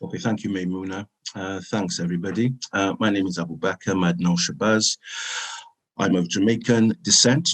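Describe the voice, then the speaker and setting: A man with a very deep voice introduces himself over a video call. His tone is husky and slightly rough, and he speaks in a measured, hesitant way. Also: steady tone, a bit bright, low-quality recording. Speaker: guest. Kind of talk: casual self-introduction.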